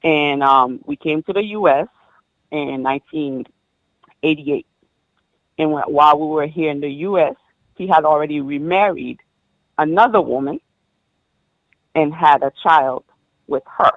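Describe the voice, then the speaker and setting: A woman of about forty, born in Haiti, calling in to a show and recounting her life in a halting way, full of ums and restarts. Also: low-pitched, no echo. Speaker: caller. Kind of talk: personal story on a call-in show. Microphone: phone. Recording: dull dry phone line.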